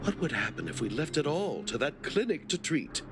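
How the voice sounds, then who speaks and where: A gravelly smug swindler boss. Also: male voice, a little high, big pitch swings, moderate pace, noisy background. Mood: sneering. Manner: mocking, sly, swings between sneering and bluster. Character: scheming middle-aged male society president, smug swindler. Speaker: villain. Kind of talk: game cutscene voice acting. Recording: game score music behind.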